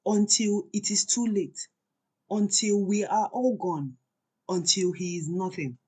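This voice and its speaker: Bolanle, grave husky wife plotting secretly voiced by a woman. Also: mid-range voice, unhurried, a little mellow. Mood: resolute. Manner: solemn, conspiratorial. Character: Bolanle, grave, defiant, long-suffering secretive Nigerian wife.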